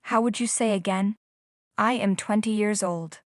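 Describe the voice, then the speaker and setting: A woman giving a calm, scripted lesson in plain English, pausing to quiz the listener. Her pitch sits mid-range and the sound is close and dry, with no room around it. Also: dry recording. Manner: slow, prompting questions to the viewer. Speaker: language teacher.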